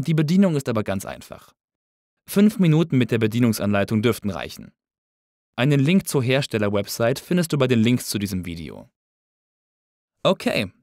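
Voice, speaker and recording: A man narrating a scripted audio engineering tutorial in a clear, orderly, explanatory way. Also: medium pitch, darkish tone, dry recording, clean studio sound. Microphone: close studio voice-over mic.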